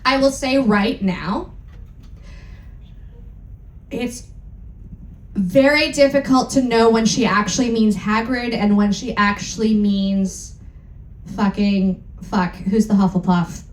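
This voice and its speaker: A woman's voice: medium pitch, slow pace, long pauses. A woman giving a comic live dramatic reading in a theatrical, mock-serious way, breaking off for asides. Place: live event room with audience. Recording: roomy live stage sound.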